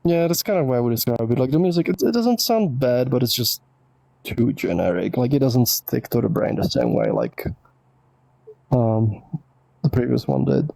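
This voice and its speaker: A man whose first language is Czech talks through viewer questions in a loose, rambling way, full of "like" and "um". His voice has a gravelly edge and a mellow, dark tone. The sound is close and dry. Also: mid-range voice, sing-song, moderate pace, no room sound. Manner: rambling, filler-heavy, reads questions aloud. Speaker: host answering viewer questions. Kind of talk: casual livestream Q&A.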